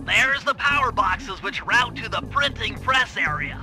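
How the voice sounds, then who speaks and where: A nerdy planner from a video game. The voice is extremely high for a man and very precise, with a pedantic briefing manner. Music plays clearly behind it. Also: lively intonation, measured pace, bright, forceful tone, full character voice. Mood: focused, instructive. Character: nerdy, precise male brainy mission planner. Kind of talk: video game cutscene acting. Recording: background music.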